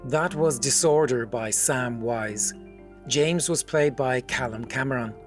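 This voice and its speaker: Measured man announcing credits over music. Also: mid-range voice. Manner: measured, formal. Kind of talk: reading credits.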